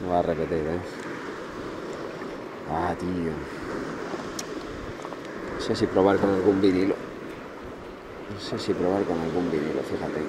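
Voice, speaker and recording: A man's voice: mid-range voice, noisy background. A man with a Spanish accent, fishing from a kayak and chatting to his viewers as he explains his technique. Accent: Spanish from Spain. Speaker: fishing vlogger. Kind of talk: casual on-the-water commentary. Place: outdoors on a kayak at sea.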